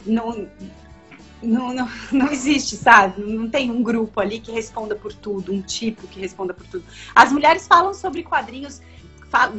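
Animated high-voiced woman on a video call. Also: breathy edge. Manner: animated, emphatic, many fillers and restarts. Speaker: comics editor and guest. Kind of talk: casual video-call interview. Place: home room with bookshelves. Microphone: laptop webcam on video call. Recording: dull lo-fi video call audio.

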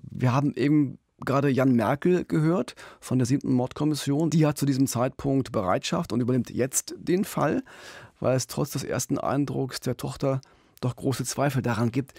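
Creaky-voiced middle-aged podcast storyteller, male. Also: mid-range voice, normal speed, darkish tone, no room sound, studio quality. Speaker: true-crime podcast host. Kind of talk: conversational crime storytelling. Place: small radio studio. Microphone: close studio boom mic with pop filter.

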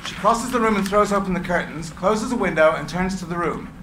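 A man with a gravelly voice reading the stage directions aloud at a script reading into a stand mic. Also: high voice, brisk. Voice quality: gravelly from recording work. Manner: clear, expressive reading. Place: meeting room with audience. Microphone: stand microphone. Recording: echoey room, background noise.

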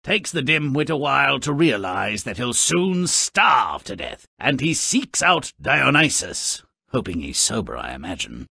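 A nasty little storybook imp narrating a game, gravelly and sharp-edged. His delivery lurches wildly up and down in pitch, slow and savored, full of sardonic glee and malice. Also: very animated, no room sound, exaggerated voice. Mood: sardonic storytelling. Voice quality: gravelly, raspy. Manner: sardonic, exaggerated, relishing malice. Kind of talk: theatrical scripted game narration.

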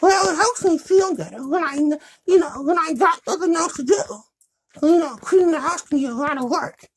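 Man doing a girl hand puppet, extremely high falsetto, sassy, blunt, playful, children's show. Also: fairly quick. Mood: cheerful.

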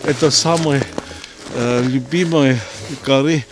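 A man with a German accent, a guest on the hike, who speaks in halting, simple phrases with small grammar slips, outdoors in a snowy forest. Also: mid-range voice, fairly even intonation, noisy background. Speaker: guest hiker.

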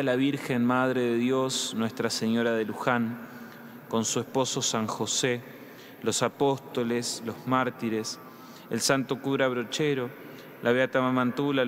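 A young man with an Argentine accent, a priest reading prayers and giving communion instructions in measured tones. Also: medium pitch. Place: large church. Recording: long church reverb.

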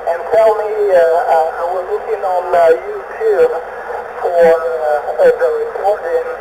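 High-pitched Italian man over noisy shortwave radio. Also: wildly swooping pitch. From Italy. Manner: halting, courteous, repeats phrases for clarity. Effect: heard over shortwave radio. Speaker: amateur radio operator. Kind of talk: radio contact chat. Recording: very noisy long-distance radio signal with static.

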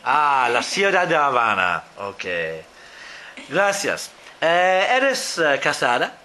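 Man, slow deliberate questions, lively pitch, faint hiss behind. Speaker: interviewer. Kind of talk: language-practice interview.

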